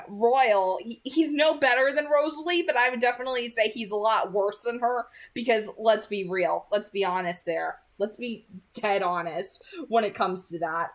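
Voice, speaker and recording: A woman chatting casually as she gives book commentary, rambling and emphatic, often repeating phrases for stress. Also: mid-range voice. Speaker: read-aloud channel host giving commentary.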